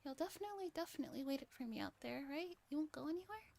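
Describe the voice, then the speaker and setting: A high-voiced, childlike little girl from a visual novel, acted out by a woman. She is curious and eager, with a timid, hesitant way of talking. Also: animated, very fast, vocal fry, a little mellow. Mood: anxious.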